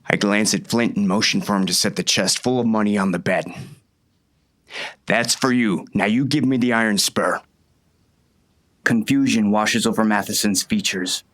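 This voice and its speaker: Man acting a low-voiced, menacing western sheriff. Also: normal speed, long pauses, fairly bright tone, natural voice. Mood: cold anger. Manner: curt, threatening, hard-boiled. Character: hard, menacing male sheriff, a vengeful father. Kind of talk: first-person audiobook narration and dialogue.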